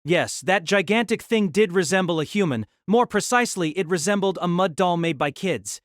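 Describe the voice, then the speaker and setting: A man's voice: high voice, animated, normal speed, no room sound, clean studio sound. A man narrating a fantasy novel as a dramatic, scripted audio drama.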